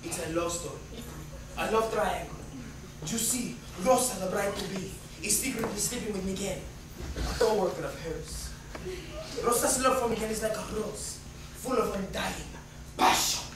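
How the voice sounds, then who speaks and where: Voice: mid-range voice, moderate pace, far from the mic, natural voice. Theatrical romance writer someone acting it in a play, passionate and indignant, really echoey, noisy recording. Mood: romantic, dramatic. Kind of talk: amateur dramatic reading of a play. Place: echoey room, far from the mic.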